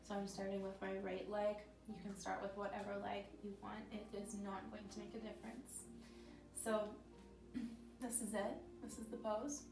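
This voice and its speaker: Woman yoga teacher, slightly scratchy voice, gentle steady cues, flat even delivery, roomy echo, soft music behind. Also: mid-range voice. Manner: calm, gentle cueing with frequent throat clearing. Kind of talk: guided yoga instruction. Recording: roomy echo from a distant mic with background music.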